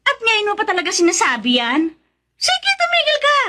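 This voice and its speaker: An actress playing a scheming, mercenary older sister in a radio drama, pushy and mocking in an exaggerated way. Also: female voice, very high-pitched, huge pitch swings, normal speed, background noise, heavily acted. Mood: mocking, scolding. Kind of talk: Tagalog radio drama acting.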